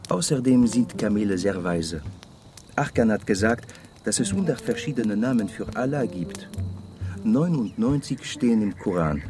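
A man's voice: fairly low pitch, very animated, normal speed, over music. An actor playing a worldly, wry and melancholic man in a radio drama, musing and dropping in French words.

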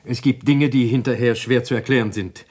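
A man playing a troubled doctor and evasive suspect in a German crime radio drama, speaking in a hesitant, guarded way with a husky voice. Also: mid-range voice, fairly even intonation, a little fast, darkish tone, lightly acted. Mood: subdued, recounting.

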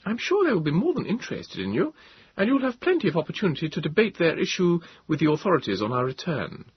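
A man voicing a brisk, commanding woman army captain in a storybook reading, speaking crisply and with authority. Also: on the high side, huge pitch swings, pitched above the performer's own voice, exaggerated voice. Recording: dull, dry lo-fi sound.

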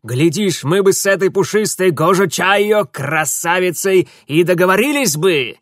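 A cunning young vagabond pleads and wheedles in a raised, high, sing-song voice, as a male audiobook narrator puts him on. The tone is folksy and insistent. The recording is dry and close. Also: animated, moderate pace, fairly bright tone, dry recording. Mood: wheedling.

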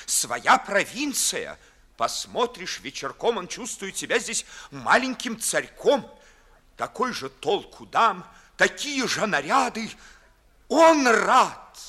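A man's voice: sits high, pushed and bright, slightly rough, heavily acted. An actor playing a disillusioned, bitterly witty young nobleman in a 1950s radio verse comedy, declaiming rhetorically. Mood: sardonic.

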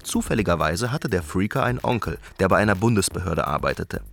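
Ein Sprecher leiht einem Hacker die deutsche Stimme und erzählt erklärend im Stil eines Dokumentar-Voiceovers. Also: male voice, low-pitched, lively intonation, fast talker, creaky. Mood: explaining. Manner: explanatory, narrating. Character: male German voice of an American hacker storyteller. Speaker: German voice-over translator. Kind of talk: scripted documentary voice-over storytelling. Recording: loud music behind the voice.

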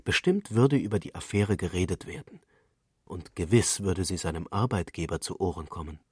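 A man's voice: low voice, expressive, moderate pace, a little mellow, breathy edge, no echo, studio quality. A man reading literary prose as a scripted narrator.

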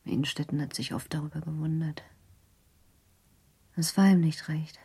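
A gravely ill young woman in a radio drama. Her voice is low, cracked with creak and heavily breathy. She speaks weakly and serenely, resigned to death. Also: steady tone, moderate pace, warm tone, heavily acted. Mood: wistful, serene. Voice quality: breathy, weak, whispery. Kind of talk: German radio drama acting.

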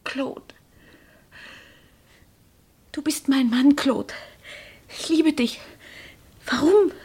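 A frightened, pleading wife. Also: female voice, high voice, slow pace, darkish tone. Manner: pleading, tearful. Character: Lise, frightened wife accused of murder. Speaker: actress in a crime radio play. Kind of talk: radio drama acting.

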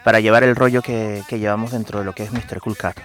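A man's voice: lowish voice, fairly even intonation, fast talker, faint music behind. A man, a guest entrepreneur in a radio interview, explaining earnestly with frequent fillers. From Venezuela.

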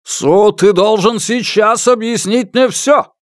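A narrator, a man, puts on a desperate, enraged father. The voice climbs high and loud, swinging widely as he hurls accusations in hysterical shouts. It is an audiobook character in a dry recording. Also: animated, takes it slowly, no room sound. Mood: furious. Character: enraged, desperate father hunting his missing daughter.

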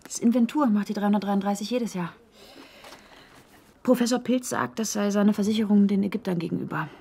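An actress playing a resourceful, determined young woman journalist in a German radio drama, brisk and matter-of-fact. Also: medium pitch, gravelly, dark tone, slightly rough. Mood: secretive, determined.

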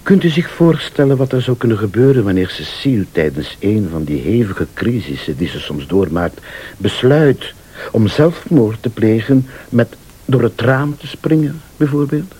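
An actor playing a shrewd, insistent accuser in a radio thriller, speaking formally and with deliberate insinuation. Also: male voice, mid-range voice, expressive, mellow, husky. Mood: grave, insinuating. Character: male late-night accuser, shrewd, insistent, laying out a murder plot. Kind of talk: Dutch-language radio thriller acting.

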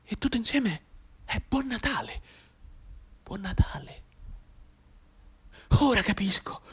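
Husky, desperate bedridden man. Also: high voice, animated, a bit bright, exaggerated voice. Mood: ecstatic, overwhelmed. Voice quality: husky, breathy, often dropping to a whisper. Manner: halting, repetitive, emotionally volatile. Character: desperate bedridden mute soldier, thinking in anguished monologue. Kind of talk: intense theatrical radio drama monologue.

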